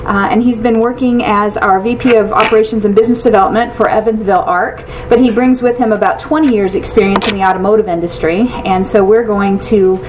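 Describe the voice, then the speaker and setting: Woman host, measured introduction, distant mic, faint room noise. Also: medium pitch, fairly even intonation. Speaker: event host introducing a speaker. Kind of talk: formal introduction.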